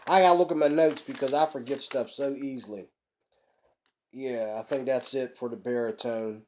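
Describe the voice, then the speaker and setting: A middle-aged man, a bit hoarse from a cold, chatting folksily to his webcam at home about ukuleles. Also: medium pitch, steady tone, normal speed, darkish tone, slight background noise. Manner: folksy, chatty, preacher-like emphasis. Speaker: ukulele channel host. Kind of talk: casual instructional vlog. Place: small room at home, indoors.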